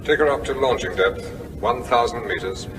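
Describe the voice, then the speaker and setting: An actor in a radio drama playing a stern submarine captain, giving formal orders in a curt, authoritative manner. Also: male voice, medium pitch, normal speed, natural voice. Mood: stern, commanding. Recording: distant, echoing hall sound, faint noise.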